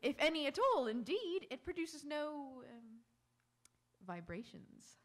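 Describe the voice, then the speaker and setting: A woman's voice: high voice, sing-song, takes it slowly, a bit bright, exaggerated voice. A woman acting in live radio theatre as a poised, romantic and self-assured young Victorian lady with an affected manner. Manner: affected, decisive, genteel.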